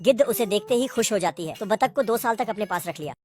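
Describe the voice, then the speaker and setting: A woman's voice: mid-range voice, lively intonation, fast talker. A woman giving scripted comic narration of cartoon recaps in crude, slangy, irreverent street language. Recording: loud music under the voice. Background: loud music.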